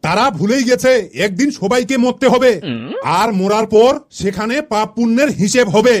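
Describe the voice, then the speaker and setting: A man's voice: very high-pitched, normal speed. A man voicing a schoolteacher in a comedy cartoon, quizzing and ordering pupils in a familiar, commanding way. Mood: commanding, questioning. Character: male schoolteacher quizzing his pupils, stern but approving. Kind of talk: Bengali comedy cartoon voice acting.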